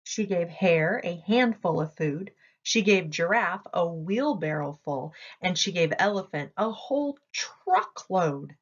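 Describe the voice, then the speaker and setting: A woman reading a children's story aloud in an expressive, deliberate storytelling manner. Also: medium pitch, muffled recording. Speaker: storybook reader.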